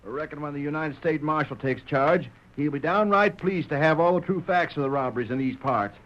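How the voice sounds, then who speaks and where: A man's voice: on the high side, fairly quick, fairly bright tone, lightly acted. An actor playing an indignant, plain-spoken frontier townsman with folksy phrasing in an old radio western. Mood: stern, satisfied. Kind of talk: 1940s radio western acting. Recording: muffled old radio drama recording.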